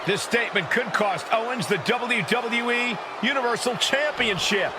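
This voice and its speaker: A man's voice: very high voice, moderate pace. A man playing an urgent play-by-play wrestling announcer in a sports game, over roaring arena noise. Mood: intense. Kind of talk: wrestling commentary.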